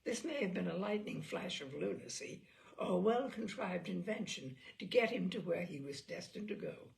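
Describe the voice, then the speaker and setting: A woman's voice: lowish voice, expressive, normal speed, vocal fry. A woman reading a story aloud with dry, wry storytelling. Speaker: reader of stories.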